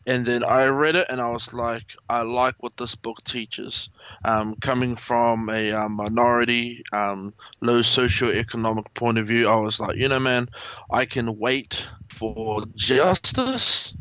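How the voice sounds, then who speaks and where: A man's voice: mid-range voice, steady tone, a little slow, pushed and bright, no echo. A man with a New Zealand accent speaking reflectively as an interview guest over an internet call. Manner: reflective, explains with analogies. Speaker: podcast guest, entrepreneur and author. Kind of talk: conversational interview storytelling. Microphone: internet video or voice call. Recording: dull Skype internet call.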